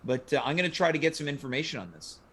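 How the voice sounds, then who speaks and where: A man with a fairly high voice hosts a casual panel conversation. He is inquisitive and moderates as he goes. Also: animated, very fast, fairly bright tone. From Quebec, Canada. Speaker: talk show host.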